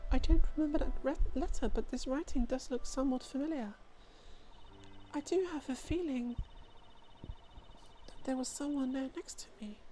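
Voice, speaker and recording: A woman's voice: high-pitched, normal speed, pauses often, mellow, slight background noise, close to an everyday voice. A woman voicing an anxious, confused young woman in a game fan dub, speaking hesitantly and earnestly. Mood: hesitant recall. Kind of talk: fan-dubbed game dialogue.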